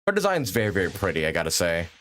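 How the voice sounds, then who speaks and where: A man's voice: low-pitched, huge pitch swings, rapid-fire, a bit bright. A man hosting a livestream with casual, rambling gaming commentary and frequent chatty asides. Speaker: livestream host.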